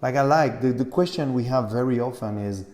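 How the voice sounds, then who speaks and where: A middle-aged man with a French accent chatting as an interview guest, speaking non-native English haltingly and searching for words. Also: medium pitch, darkish tone. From Grenoble, France. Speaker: interview guest, cheese shop owner. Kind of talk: casual conversational interview. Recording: echoey room with long reverb.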